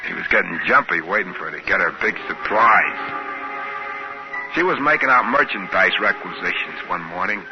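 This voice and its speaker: An actor playing a plain, folksy trading-post worker who also narrates a 1950s science fiction radio drama. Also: male voice, low-pitched, animated, normal speed. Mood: wry storytelling. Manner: folksy, colloquial. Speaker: narrator and character. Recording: muffled old radio broadcast with music.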